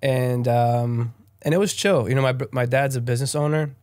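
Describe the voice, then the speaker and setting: Brazilian-born young man, guest voice, mid-pitched, loose and rambling, close dry recording. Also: fairly even intonation, moderate pace, a little mellow, dry recording. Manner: rambling, many fillers and restarts. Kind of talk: casual interview chat.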